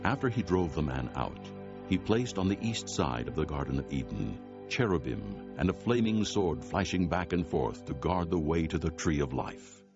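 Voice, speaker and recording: A man narrates scripture as a solemn storyteller. His voice is exceptionally low and gravelly, rising and falling expressively in a scripted dramatic reading. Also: big pitch swings, moderate pace. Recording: dull sound with music bed.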